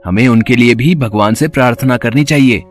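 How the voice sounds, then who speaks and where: A man's voice: medium pitch, brisk, faint music behind, close to an everyday voice. A man voicing a humble, good-hearted poor working boy in a cartoon story, polite and earnest. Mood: earnest, happy. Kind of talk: acted cartoon story dialogue.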